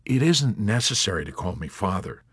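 Man playing timid, soft-spoken military chaplain. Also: fairly low pitch, big pitch swings, moderate pace, breathy edge, dry recording, clean studio sound, heavily acted. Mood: hushed, polite. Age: 30s. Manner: mumbling, soft, hesitant. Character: shy, timid, apologetic military chaplain in his thirties.

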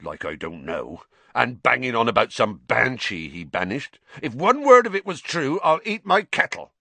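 A man voicing a big, gruff, warm-hearted gamekeeper with a growling, blunt way of talking. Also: mid-range voice, big pitch swings, normal speed, no room sound, pitched above the performer's own voice, full character voice. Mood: disgruntled.

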